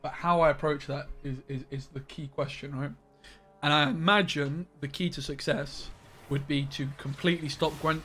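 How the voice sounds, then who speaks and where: Young guy streaming a card game from his room, chatty and rambly, slightly high voice, faint music behind. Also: fairly bright tone. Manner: rambling, chatty, greets viewers by name. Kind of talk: casual live-stream chat. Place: his bedroom.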